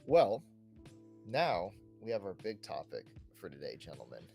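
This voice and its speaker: A man's voice: low-pitched, wildly swooping pitch, unhurried, gravelly, a little mellow, husky, soft background music. A man hosting a casual podcast chat, talking in a chatty way and often checking in with "you know" and "right". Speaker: podcast host.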